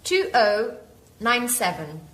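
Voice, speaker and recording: A woman played by an actress in a language-course dialogue, with a fairly high voice and very lively intonation. She gives short, simple lines in a deliberate way. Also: heavily acted. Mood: deliberate, instructional. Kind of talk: acted textbook example dialogue.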